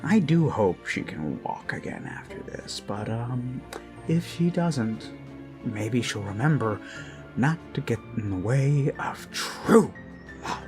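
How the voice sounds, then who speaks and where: A man voicing an obsessive, menacing yandere kidnapper in an intimate ASMR roleplay, speaking in halting, creepy murmurs that break into sudden emphasis. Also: medium pitch, sing-song, darkish tone. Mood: gleeful menace. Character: obsessive male yandere kidnapper, gushing, menacing, self-abasing zealot. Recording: background music under close voice. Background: music.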